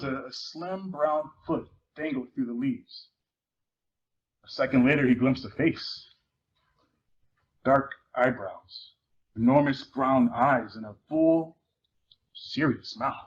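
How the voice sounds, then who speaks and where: A man's voice: mid-range voice, takes it slowly, pauses often. A man giving a dramatic reading of a novel, narrating and acting out its dialogue. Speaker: theater performer reading a book excerpt aloud. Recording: muffled live recording with faint noise.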